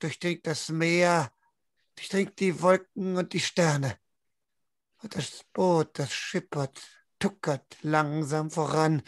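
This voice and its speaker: A man's voice: on the high side, no echo, studio quality. A man narrating a tabletop game as game master in an evocative, dramatic style, repeating phrases for effect. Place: small room at home.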